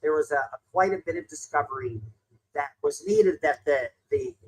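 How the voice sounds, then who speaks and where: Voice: medium pitch, wildly swooping pitch, normal speed, a little hiss or hum, rough recording. A reporter giving a spoken news update on a court case, explaining each step plainly.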